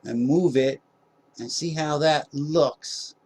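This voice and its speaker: A man casually narrating a screen-recorded software tutorial, rambling with many fillers and small jokes about himself. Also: a little high, slow pace, slightly rough. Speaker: tutorial host.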